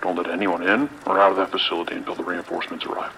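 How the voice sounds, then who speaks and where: A man playing a commanding, skeptical police lieutenant in an audio drama, brisk and authoritative. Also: low-pitched, flat delivery, creaky, sharp tone, heavily acted. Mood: decisive. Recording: rain and radio noise behind.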